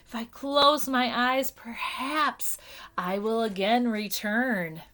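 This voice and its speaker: A woman's voice: fairly high pitch, takes it slowly, bright, forceful tone, a little hiss or hum. A woman voicing a sleepy, grumpy old man who grumbles dreamily. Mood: grumpy, dreamy.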